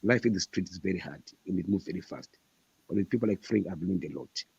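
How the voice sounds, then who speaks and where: A man's voice: low-pitched, expressive, fast, gravelly, darkish tone, husky, no echo, highs cut off. A man in his thirties from Zimbabwe, a guest author answering interview questions in an earnest, emphatic way and repeating his points. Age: 30s.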